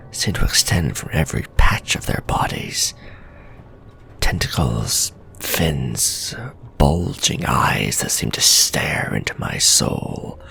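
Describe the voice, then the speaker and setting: A man voicing a male scholar sliding into madness, whispering a fevered, gloating journal in a hushed ASMR horror roleplay. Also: a bass voice, heavily acted. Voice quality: gravelly whisper.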